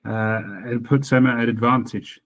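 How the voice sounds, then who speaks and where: A man interviewing a guest, asking questions with halting, stumbling starts. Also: mid-range voice, level tone, fairly bright tone.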